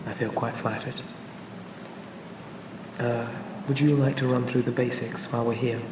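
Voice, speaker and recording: A calm and pleasant male AI companion acted by a man for an ASMR roleplay. He speaks gently and reassuringly in a narrow, even pitch. The recording sounds distant and echoey, with clear ambient noise behind him. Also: normal speed, close to an everyday voice. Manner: gentle, hesitant, reassuring, conversational. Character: charming, pleasant male AI personal assistant for a police officer.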